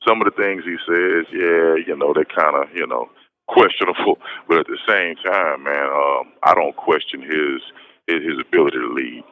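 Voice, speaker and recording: A man's voice: rumbling low, moderate pace. An American man giving a casual personal account over a muffled, noisy phone line. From west Texas, United States. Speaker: interview guest, prisoner calling in. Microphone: telephone call from prison.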